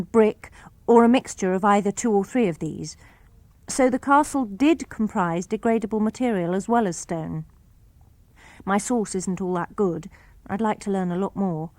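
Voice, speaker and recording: A woman reads letters in a mid-pitched, composed voice. Her delivery is even and clear, without flourish. The recording is close and dry, with no room sound at all. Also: normal speed, no room sound. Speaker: reader of letters. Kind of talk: reading letters aloud for radio.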